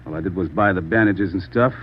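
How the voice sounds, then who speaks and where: An actor playing an evasive, defensive small-time crook with slangy speech in a 1940s radio drama, on a thin old recording. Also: male voice, low-pitched, fast, dull audio, slight background noise, lightly acted. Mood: nervous, confessing.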